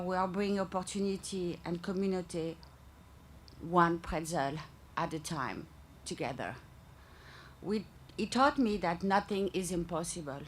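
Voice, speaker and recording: A woman from France with a French accent, a company founder speaking slowly and deliberately into a podium microphone at an event. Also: on the low side, a little hiss or hum. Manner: careful, deliberate delivery. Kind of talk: prepared speech at a podium. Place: outdoor event.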